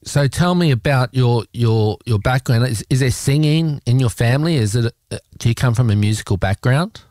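A man's voice: medium pitch, normal speed, darkish tone, no echo. A man with an Australian accent presenting a radio show and interviewing in a casual, rambling, jokey way.

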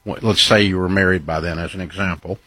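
A man's voice: deep voice, fairly even intonation, fast talker, bright, forceful tone, slightly rough, no room sound. A man hosting a call-in advice show, cutting in with direct, probing questions. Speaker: host.

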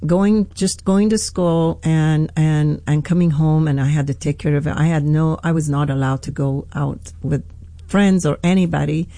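A woman from Iran with an Iranian accent, a pastor telling her story in a radio interview, earnest and halting with many restarts. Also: sits low, steady tone, dark tone, no room sound. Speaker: pastor, guest.

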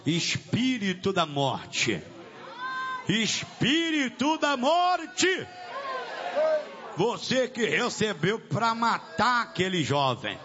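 High-pitched Brazilian man shouting exorcism commands. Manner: forceful, shouted, repetitive commands. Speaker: Pentecostal pastor leading deliverance prayer. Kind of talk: fiery exorcism preaching. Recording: dull, lo-fi church recording.

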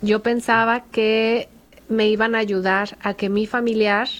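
A woman on a discussion panel sharing her experience as a family member in a support group, earnest and halting, with frequent fillers and restarts. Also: on the high side, flat delivery.

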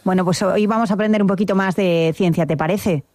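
A woman's voice: lowish voice, quick pace, darkish tone, no echo. A woman hosting a live radio show the studio, friendly and playful with her callers. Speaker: radio host.

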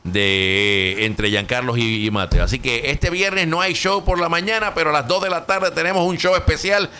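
A man hosting a lively morning radio show, animated and laughing, repeating his phrases as he runs the banter. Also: on the high side, normal speed, smooth.

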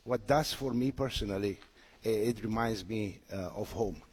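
A man from Romania answering interview questions as a resort representative, speaking haltingly with frequent hesitations. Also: fairly low pitch, a little mellow, breathy edge. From Romania (Transylvania). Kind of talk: unscripted radio interview answers.

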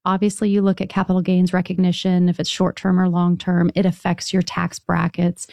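Low gravelly-voiced woman advisor. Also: completely flat delivery, brisk, a little mellow, dry recording, studio quality. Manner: explanatory, conversational, with restarts. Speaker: financial advisor, guest. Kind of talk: radio call-in advice chat.

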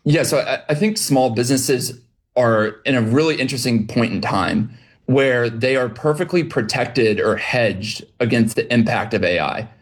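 A man's voice: medium pitch, normal speed. A man as an interview guest, explaining his business in a fluent, conversational way with concrete examples. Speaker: guest, small business acquirer.

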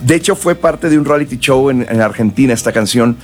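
A man from Mexico and South Texas, a musician guest chatting casually, relaxed and rambling with many fillers. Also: mid-range voice, brisk, soft background music. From northern Mexico and South Texas. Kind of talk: casual interview chat.

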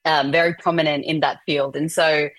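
A woman from Sri Lanka with a very low voice answers interview questions at length. She talks in an earnest, explanatory way, recorded close and dry. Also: little pitch movement, moderate pace, a bit bright, no echo. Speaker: guest, community organizer.

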